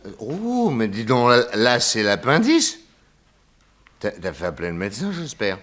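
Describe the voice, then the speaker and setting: A man's voice: medium pitch, huge pitch swings, fairly bright tone. An actor playing a genial, tipsy detective uncle who rambles and stammers through his tale in a 1960s French radio drama. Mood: concerned, hesitant. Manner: hesitant, halting, stammering.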